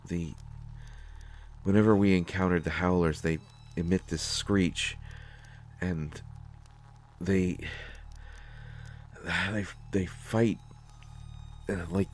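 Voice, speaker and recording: A man playing a nervous, bookish survivor in a sci-fi audio drama, speaking haltingly with frequent stammers. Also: low-pitched, fairly even intonation, faint music behind. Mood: uneasy, hesitant. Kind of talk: scripted sci-fi audio roleplay, solo acting.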